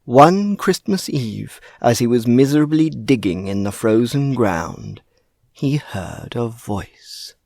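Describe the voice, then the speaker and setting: A man narrating a children's story in a theatrical, deliberate, dramatic style. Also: mid-range voice, expressive, creaky, darkish tone, no room sound, clean studio sound.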